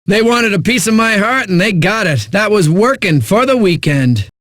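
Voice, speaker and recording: A smug, breezy eighties music DJ for a game radio station. His voice sits high and moves around a lot. The sound is very dry and close, and the patter is hyped with a sardonic edge. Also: animated, normal speed, fairly bright tone, dry recording. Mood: glib, upbeat.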